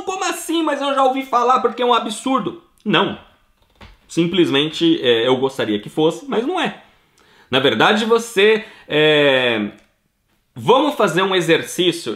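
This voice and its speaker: Lively Brazilian man, echoey room. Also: high-pitched. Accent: Brazilian Portuguese. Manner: emphatic, acts out listeners' questions in quotes. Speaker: citizenship consultant answering listener questions. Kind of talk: casual explanatory talk.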